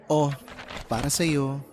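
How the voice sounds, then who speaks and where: An excitable, playful matchmaking friend in a Filipino radio drama. The delivery is chatty and full of exclamation, with teasing familiarity and soft music behind it. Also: male voice, a little high. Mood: friendly, apologetic.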